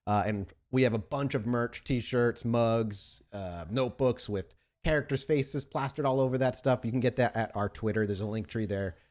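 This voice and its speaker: A man's voice: mid-range voice, moderate pace, few pauses, a little mellow. A man casually and enthusiastically chatting as the host of a tabletop roleplaying podcast. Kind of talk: casual podcast intro chat.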